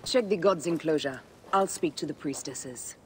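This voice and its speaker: An actress playing a composed, caring and direct Egyptian wife in a video game. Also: female voice, deep voice, normal speed, lightly acted. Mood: purposeful. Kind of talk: video game cutscene dialogue. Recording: faint noise and ambience. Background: faint ambient sounds.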